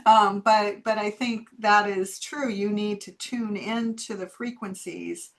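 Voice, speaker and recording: Woman, mid-pitched voice, talkative guest, agreeable, casual chat. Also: steady tone, takes it slowly. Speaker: guest channeler.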